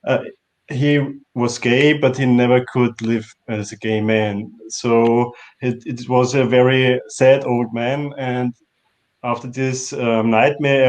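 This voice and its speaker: A man giving a personal account as a filmmaker guest, haltingly searching for his words in English. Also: medium pitch, darkish tone. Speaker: filmmaker guest on a festival panel. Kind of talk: personal account in a panel interview.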